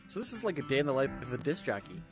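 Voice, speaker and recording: A man with a mid-pitched voice hosts a playthrough. He gives casual, conversational commentary on the game, with faint music behind him. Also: sing-song, rapid-fire. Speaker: gaming video host. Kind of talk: casual let's-play commentary.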